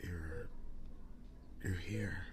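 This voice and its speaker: Man acting a deep, whispery, slow-talking werewolf boyfriend. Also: background noise. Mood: flustered, soothing. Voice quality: breathy, whispery low voice. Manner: halting, earnest, soft-spoken with long pauses. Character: wounded young male werewolf boyfriend, tender and devoted. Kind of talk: ASMR boyfriend roleplay, intimate acting.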